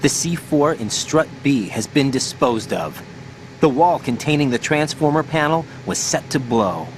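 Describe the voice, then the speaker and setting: A man's voice: a little high, animated, a little slow, slight background noise. An actor playing a young male special-forces operative in a video game cutscene, earnest with clipped reports. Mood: earnest, alert. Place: recording studio. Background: game score music.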